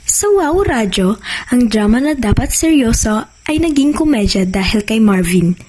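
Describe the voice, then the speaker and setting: A woman's voice: fairly high pitch, expressive, moderate pace, a little mellow, low-quality recording. A woman with a Filipino accent reading scripted radio drama narration.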